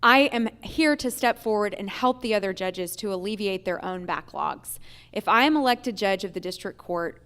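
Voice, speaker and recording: Woman candidate at a public forum. Smooth, resonant voice, measured formal delivery, emphatic on key points. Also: medium pitch. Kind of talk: prepared political forum answers.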